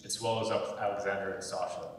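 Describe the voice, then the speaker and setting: A man's voice: fairly even intonation, quick pace. A young man narrating the stage directions of a script. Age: young adult. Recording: very echoey hall, distant mic, faint rumbling noise.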